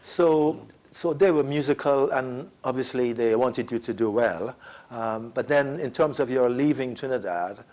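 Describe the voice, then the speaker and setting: A man hosting an interview, asking questions in a halting way with many restarts. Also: medium pitch, a little mellow. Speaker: interview host.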